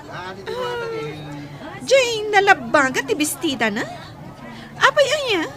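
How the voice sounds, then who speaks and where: Woman doing snooty spoiled young schemer in a drama, super high whiny voice, swings all over. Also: big pitch swings, slow, gravelly, a crowd in the background, background noise, heavily acted. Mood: impatient. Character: bossy, vain, selfish young woman, spoiled office schemer.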